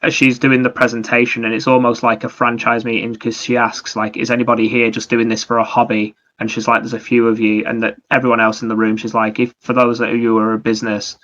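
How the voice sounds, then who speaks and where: A man's voice: medium pitch, monotone, quick pace, few pauses, fairly bright tone. A man chatting casually about video games as a podcast host, explaining things in a rambling way. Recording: dull, lo-fi microphone sound.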